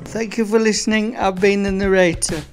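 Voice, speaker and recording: A woman narrating a scripted radio play, reading in a halting way. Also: mid-range voice, steady tone. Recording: music behind the voice.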